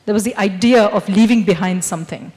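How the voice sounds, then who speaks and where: A woman's voice: medium pitch, brisk, a little mellow, long reverb tail. A middle-aged woman moderating a literature festival panel, speaking articulately into a handheld mic on a large stage. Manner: articulate, framing questions. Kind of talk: panel discussion.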